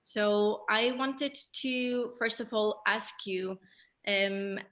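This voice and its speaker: A bright, forceful female voice. She hosts a theatre question-and-answer session, pausing often to search for her words. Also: mid-range voice. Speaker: moderator, theatre director. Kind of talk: hosting a post-show Q&A.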